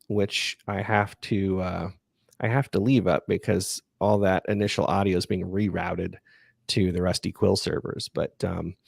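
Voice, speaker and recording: A man hosting a podcast livestream, chatting casually and answering listener questions in a halting, self-effacing way. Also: fairly low pitch, vocal fry, no room sound, clean studio sound. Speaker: podcast creator and livestream host.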